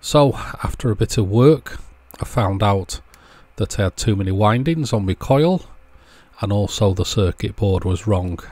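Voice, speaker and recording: A man with a fairly low voice hosts a hands-on electronics demonstration. He explains each step in a measured, methodical way, as a voice-over to the work on the bench. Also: lively intonation, a little mellow, no echo. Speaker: host of an electronics repair channel.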